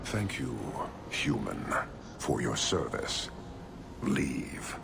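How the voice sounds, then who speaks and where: An actor playing a stern, proud giant military commander in a video game, speaking in a grave, formal, declamatory way. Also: male voice, a bass voice, sing-song, drawn-out words, gravelly, heavy background noise, full character voice. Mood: cold dismissal.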